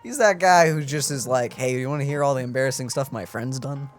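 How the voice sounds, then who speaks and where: Man, reaction host, casual joking commentary, mid pitch, soft background music. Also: a little fast.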